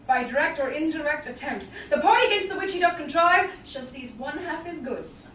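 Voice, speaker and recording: Woman as stern stage judge, formal declamation, bright voice, roomy noisy recording. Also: high-pitched, far from the mic. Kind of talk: Shakespearean staged reading. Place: small indoor theatre stage. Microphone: distant audience camera.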